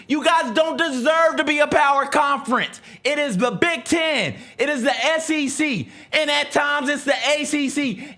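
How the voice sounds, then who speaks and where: A man's voice: very high voice, bright, forceful tone. A man co-hosting a casual sports talk show, talking in combative, slangy banter with mock rants and swearing.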